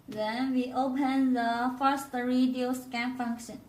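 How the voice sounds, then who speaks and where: High-voiced woman demonstrating in an echoey room. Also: level tone. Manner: halting non-native phrasing. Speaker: product demonstrator. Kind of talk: step-by-step product tutorial. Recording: roomy echo, distant microphone.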